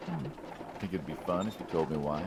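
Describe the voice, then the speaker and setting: A man playing a wry, needling mob hitman in a game, gravelly and probing, like an old friend asking questions. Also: deep voice, big pitch swings, fairly quick, heavy background noise. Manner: wry, probing, drawling sarcasm. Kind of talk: naturalistic video game dialogue.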